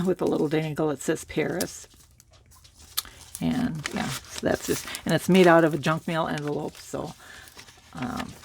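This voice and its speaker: Raspy woman with a cold. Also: low voice, a little hiss or hum. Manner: relaxed, rambling, with many fillers and restarts. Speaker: crafter showing a handmade journal. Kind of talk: casual show-and-tell.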